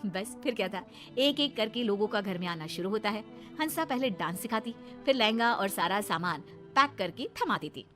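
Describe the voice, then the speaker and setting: A woman narrating an animated moral story in an expressive storytelling manner. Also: medium pitch, normal speed, over music.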